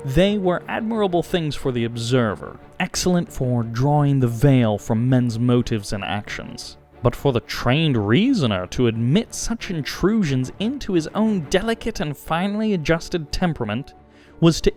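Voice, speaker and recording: Male audiobook narrator for classic fiction: mid-range voice, very varied intonation, measured and dramatic phrasing. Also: medium pitch, darkish tone. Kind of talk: scripted literary narration.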